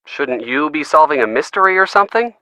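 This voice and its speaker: An actor playing a wisecracking, upbeat young friend in a video game, with an American accent and a playful, wry, chatty delivery. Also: male voice, on the high side, animated, brisk, bright, forceful tone, no room sound. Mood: playful, intrigued. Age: young adult. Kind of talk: video game dialogue acting, conversational phone chat.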